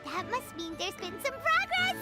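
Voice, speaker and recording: A woman voicing a tiny, cheerful, childlike female companion in a video game, squeaky and perky. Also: big pitch swings, moderate pace. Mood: eager. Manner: perky, exclamatory. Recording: music playing behind the voice.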